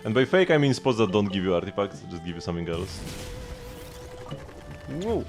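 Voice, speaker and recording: Low-voiced man casually livestreaming a game. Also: sing-song, a little fast. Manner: offhand, thinking aloud. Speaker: live game streamer. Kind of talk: casual gaming livestream commentary, chatting with viewers. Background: music.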